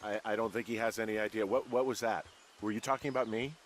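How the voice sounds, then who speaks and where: Game role: actor as guarded everyman lookout, lowish male voice, dry and understated, faint ambient noise. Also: fairly even intonation, quick pace, natural voice. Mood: suspicious. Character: adult man, laconic, guarded, dry-humoured fire lookout. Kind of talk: naturalistic video game dialogue.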